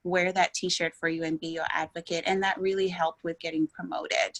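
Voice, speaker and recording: A woman's voice: lowish voice, little pitch movement, moderate pace, a bit bright. A woman from Ghana sharing career advice on a panel in a direct way. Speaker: panelist, operations and strategy leader.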